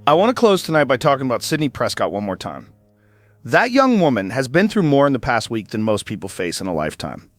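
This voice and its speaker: An actor playing an earnest late-night radio host who speaks in a reflective, measured way. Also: male voice, medium pitch, fairly bright tone, no echo. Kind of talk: audio drama monologue.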